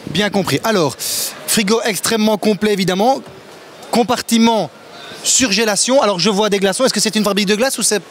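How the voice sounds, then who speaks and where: A man, interviewing a sales rep at a trade fair stand in a lively, promotional way. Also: sits high, a little fast, slight background noise. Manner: lively, promotional, asks leading questions. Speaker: interviewer for a retailer's channel. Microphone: handheld microphone.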